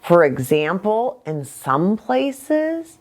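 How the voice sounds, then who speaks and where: A woman's voice: mid-range voice, sing-song, drawn-out words. An American woman preacher explaining things simply to children as a story-time host.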